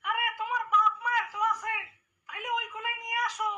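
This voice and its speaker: A man voicing a shrill, pushy cartoon sidekick who fawns over a conman holy man. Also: level tone, fast talker, bright, forceful tone, dull audio, exaggerated voice.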